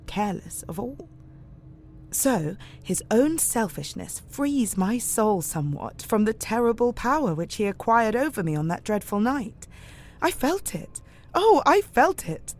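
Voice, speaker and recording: A woman's voice: mid-range voice, a little hiss or hum. An actress playing an earnest, devout young Victorian woman, formal and articulate, in an audio drama. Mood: fervent, relieved.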